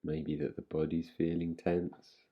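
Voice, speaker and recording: A man guiding a relaxation meditation in an unhurried, soothing way, giving gentle instructions with long pauses. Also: low-pitched, little pitch movement, slightly rough, muffled recording. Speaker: meditation guide. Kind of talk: guided relaxation meditation, yoga nidra.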